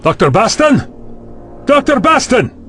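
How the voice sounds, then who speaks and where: An actor playing an indignant, officious vice-chancellor in an audio drama, brusque and scolding with a husky voice. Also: male voice, very high-pitched, big pitch swings, measured pace, fairly bright tone, faint music behind, full character voice. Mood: alarmed.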